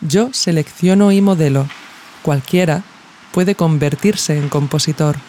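A narrator reads a scripted audio essay in a measured, reflective way, phrasing each thought deliberately. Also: mid-range voice, a little mellow, a little hiss or hum. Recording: music behind the voice. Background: music.

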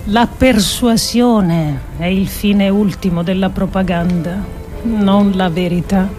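A woman performing a solemn dramatic reading of an essay, with deliberate, emphatic phrasing. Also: medium pitch, darkish tone, faint music behind. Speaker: actress performing a radio monologue.

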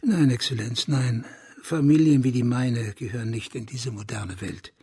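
An actor playing a bitter, proud last heir of a declining family in a radio crime drama, scornful and insinuating, sometimes dropping to a breathy murmur. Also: male voice, mid-range voice, moderate pace, mellow. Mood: resigned. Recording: music behind the voice.

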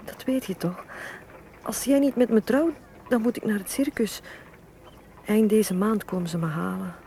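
A woman's voice: mid-range voice, normal speed, warm tone, a little hiss or hum, natural voice. An actress playing a proud, vulnerable young small-statured Venetian woman in a radio drama, speaking frankly and earnestly with a wistful edge. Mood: hurt, agitated. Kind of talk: Dutch-language radio drama acting.

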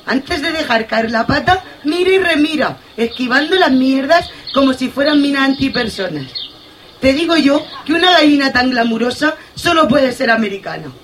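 A woman playing a gossipy, excitable farmyard hen in a comic radio play. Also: high voice, moderate pace, slight background noise. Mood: indignant, admiring. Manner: gossipy, exclamatory, colloquial. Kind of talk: comic radio theatre acting.

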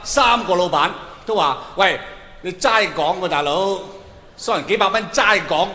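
A man's voice: sits high, moderate pace, pushed and bright. A middle-aged Hong Kong Cantonese man doing live stand-up, chatty and colloquial, putting questions straight to a big audience. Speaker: stand-up comedian. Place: large indoor arena stage. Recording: echoey arena PA, long reverb, noisy.

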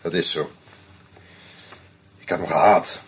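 A man's voice: sits low, fairly even intonation, measured pace, breathy edge, exaggerated voice. An actor in a radio play voicing a bitter, cornered male murderer who speaks in cold, clipped lines. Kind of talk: radio drama acting.

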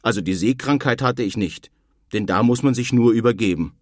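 A man's voice: medium pitch, fairly quick, no echo, studio quality, close to an everyday voice. An actor playing an earnest nineteenth-century emigrant, reading his letters home in a dramatized style. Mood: distressed, plaintive. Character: earnest male 19th-century German emigrant writing home.

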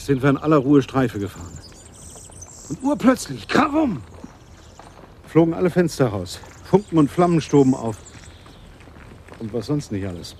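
A man plays an excitable, plain-spoken police constable in a radio drama. He reports with dramatic emphasis in a dark, medium-pitched voice. Outdoor ambience sounds faintly behind him. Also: a little hiss or hum, close to an everyday voice. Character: blunt, excitable police constable at a fire scene. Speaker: actor in a radio play. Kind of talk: German radio drama dialogue.

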